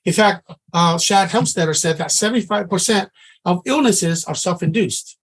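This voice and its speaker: A man's voice: high voice, moderate pace, slightly rough. A middle-aged man from Samoa, teaching a trading class in an earnest, conversational way and often restarting his sentences. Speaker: trading instructor.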